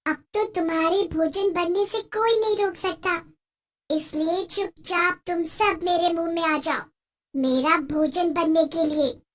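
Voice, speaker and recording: A young goat witch from a horror cartoon, played by a woman, with a very high, bright voice. She is hungry and gloating, stretching her words as she menaces and taunts her prey.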